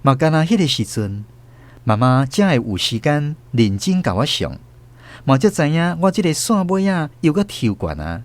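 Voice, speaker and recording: A man with a Taiwanese accent reading scripted storybook narration for a radio drama, expressive and reminiscing. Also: a little high, normal speed. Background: music.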